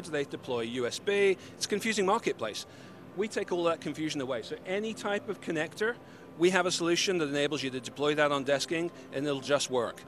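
An upbeat man in his forties with a slightly mixed British accent, pitching his company's gear in a lively, salesy way. His voice sits a little high for a man and comes through a handheld mic on a busy convention floor. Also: a little hiss or hum. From United Kingdom. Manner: upbeat, salesy, gesturing while explaining. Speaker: company representative. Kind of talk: trade-show interview. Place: busy convention hall booth. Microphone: handheld mic held by interviewer.

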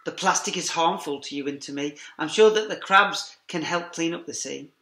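A middle-aged man teacher reading a rhyming picture book aloud to young children in a lively sing-song way, with quiet asides to them, filmed on a laptop webcam in a classroom. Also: a little high, moderate pace. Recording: echoey classroom.

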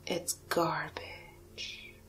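Woman as a very slow, whispering hypnotic alien cow. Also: a bit bright. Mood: hypnotic, commanding. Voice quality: breathy, whispery. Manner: slow, deliberate, coaxing, commanding. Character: commanding, hypnotic female alien cow captor. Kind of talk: hypnosis roleplay ASMR, mostly whispered. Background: music.

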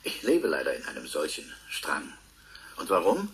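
Brooding scientist, wildly swinging intonation. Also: male voice, low-pitched, huge pitch swings, moderate pace, a bit bright, a little hiss or hum, exaggerated voice. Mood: brooding, defiant. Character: Feininger, brooding male scientist musing philosophically. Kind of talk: radio drama acting.

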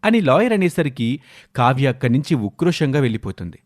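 A man narrates a family drama as a storyteller. His mid-pitched voice moves up and down in a very lively way as he reads the scripted narration. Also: fairly quick.

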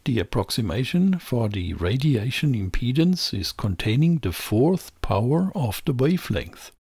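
Gravelly, animated man explaining electronics. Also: medium pitch, a little slow, dry recording, clean studio sound. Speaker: presenter of an electronics channel. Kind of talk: scripted explainer narration.

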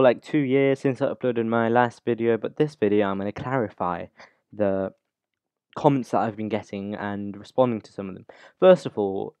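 A man hosting a casual, unscripted software tutorial, talking through the steps informally as he goes. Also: medium pitch, clear, resonant tone, dry recording. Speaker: YouTube tutorial host.

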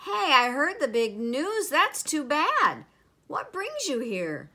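A woman puts on a big, friendly planet guarding his patch in a children's tale. He booms heartily, loud and forceful, with lively swoops in pitch. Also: measured pace, heavily acted. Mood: hearty. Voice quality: booming.

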